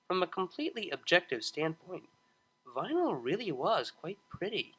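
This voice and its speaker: Male storyteller for scripted fiction, lively intonation, bright and forward tone. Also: sits high, normal speed, husky. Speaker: audiobook narrator. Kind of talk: expressive scripted narration of fan fiction.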